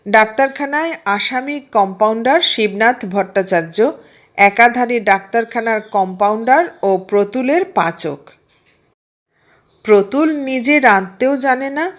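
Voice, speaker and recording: A woman reads a literary story aloud in a measured, expressive storytelling manner. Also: a little high. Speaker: audiobook narrator. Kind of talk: scripted literary story narration.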